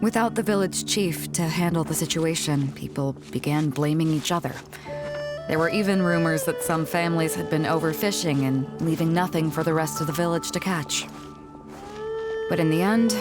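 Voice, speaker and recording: Casting: actress as assured woman sea captain for a video game, lower register, measured storyteller with a playful streak, over music. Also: lightly acted. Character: confident adult woman sea captain, playful yet reflective. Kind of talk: video game character dialogue.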